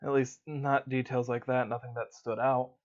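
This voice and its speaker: A man narrates a tabletop roleplay session as game master, easygoing and loose, dropping in little fillers as he describes the scene. His mic sounds a bit dull and lo-fi. His pitch sits in an ordinary middle range. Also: normal speed. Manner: relaxed, filler-heavy narration.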